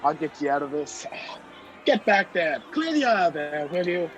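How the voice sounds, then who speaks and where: Man playing a gallant high-voiced gentleman, puzzled. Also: big pitch swings, normal speed, lo-fi sound, faint music behind. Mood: commanding, reassuring. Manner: courteous, take-charge, questioning. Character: gallant, puzzled gentleman helping a frightened stranger. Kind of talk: radio play acting. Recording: video call with faint background noise.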